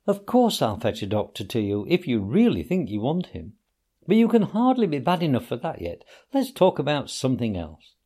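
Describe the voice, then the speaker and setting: A man voicing a brisk, hearty male water rat who talks bluntly to his friend. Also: mid-range voice, wildly swooping pitch, dark tone. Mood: alarmed. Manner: hearty, bluff.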